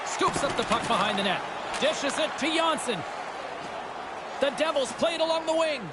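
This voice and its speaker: A man voicing an excitable hockey play-by-play announcer in a sports video game, calling the action in clipped, punchy phrases. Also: very high voice, moderate pace. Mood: brisk, focused. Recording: music and crowd noise behind. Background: loud arena crowd and music.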